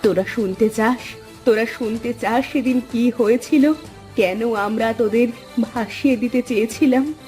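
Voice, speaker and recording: A woman playing a mother longing for her children in an animated moral story, emotional and insistent. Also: on the high side, a little slow, faint music behind, lightly acted. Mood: pleading, insistent. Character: anguished mother longing for her lost daughters.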